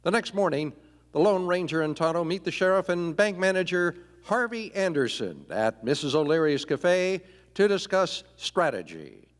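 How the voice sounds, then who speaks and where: A man's voice: sits high, sing-song, normal speed. A man narrating a western in dramatic old-time radio style. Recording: echoey live theatre hall.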